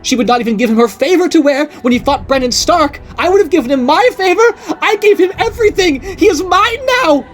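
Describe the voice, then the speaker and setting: A woman voicing an unhinged, jealous middle-aged noblewoman who rants and accuses in a shrill voice. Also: animated, a little fast, hardly any pauses, soft background music. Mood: obsessive. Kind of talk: audiobook character voice.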